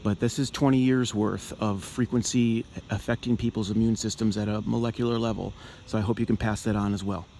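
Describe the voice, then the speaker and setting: A man speaking earnestly and deliberately as a caller to a political office, with halting, emphatic phrasing. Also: on the low side, little pitch movement, creaky, dark tone. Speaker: caller, activist in health and healing. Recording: noisy amateur recording of phone call.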